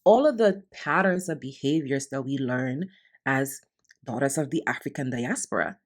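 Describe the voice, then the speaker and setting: Low voiced new woman, raised in the bronx, doing a heartfelt solo podcast, repeats stuff for emphasis. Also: normal speed. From Honduras, raised in the Bronx, New York. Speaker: podcast host and mentor. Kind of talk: reflective solo podcast monologue.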